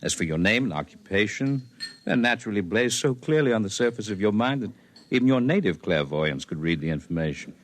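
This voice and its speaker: An actor playing a long-lived alien healer and scholar in an old radio drama, speaking in a formal, precise, superior way. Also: male voice, fairly low pitch, lively intonation, brisk. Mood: lofty, instructive. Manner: formal, precise, lofty lecturing.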